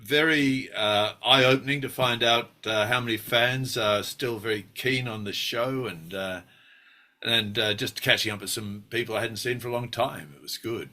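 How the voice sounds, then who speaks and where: An Australian man in his sixties tells his story with a bright, forceful edge to his voice. He is wry and self-deprecating, and his speech is full of ums and restarts. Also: mid-range voice, moderate pace. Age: 60s. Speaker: actor guest. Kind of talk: relaxed interview reminiscing.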